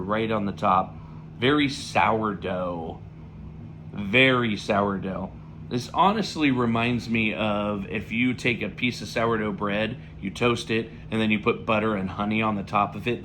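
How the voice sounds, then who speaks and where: A man with a slightly raspy voice, still getting over an illness, talking through a pipe tobacco review in a relaxed, rambling way with lots of fillers. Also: lowish voice, slight background noise. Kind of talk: casual spoken review.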